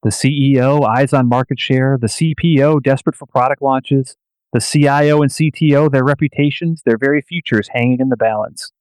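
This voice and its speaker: A man delivers scripted dramatic narration for a radio play. His voice sits in a middle register and he tells the story in measured, portentous phrases, recorded dry. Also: mid-range voice, steady tone, darkish tone, clear, resonant tone, dry recording, poor audio.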